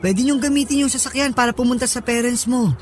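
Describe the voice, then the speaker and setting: A man's voice: very high-pitched, fast talker, faint music behind. A man playing an affectionate young husband in a radio drama, talking in a casual, coaxing, reassuring way.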